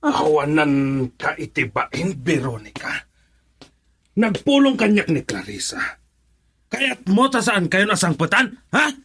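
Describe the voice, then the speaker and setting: A man's voice: sits high, huge pitch swings, measured pace, slight background noise, exaggerated voice. An actor with a Filipino accent playing an angry, domineering husband and father in a radio drama. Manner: forceful, confrontational.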